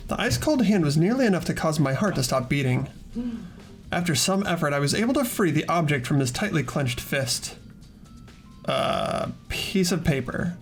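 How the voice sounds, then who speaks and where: A man voicing an earnest, easily flustered teenage boy in an amateur visual novel dub, both narrating and speaking with a hesitant, expressive delivery. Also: a little high. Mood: reluctant.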